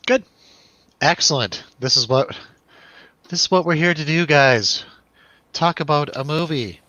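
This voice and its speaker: A man with a bright, forceful voice hosts a casual movie review. He talks in a joking, irreverent way at a middle pitch. The sound is close and dry, slightly lo-fi. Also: measured pace, no echo. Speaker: host of a movie review show.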